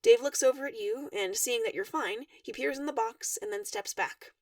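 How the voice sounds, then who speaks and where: A woman reading a fan-written story aloud in an expressive narrating style. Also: high-pitched, normal speed, creaky, no room sound, clean studio sound, distant mic. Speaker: fan fiction reader.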